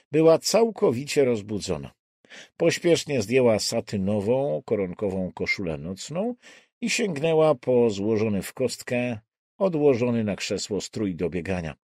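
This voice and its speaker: Slow, expressive low-voiced male narrator. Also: dry recording, studio quality. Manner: measured, deliberate, expressive delivery. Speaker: audiobook narrator. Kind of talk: scripted dramatic thriller narration.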